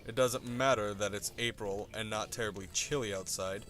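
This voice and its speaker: A man with a slightly low voice narrates a web comic. His delivery is theatrical and wry, with faint music underneath. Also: fairly even intonation, moderate pace, a bit bright. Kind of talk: dramatic scripted narration of a comic.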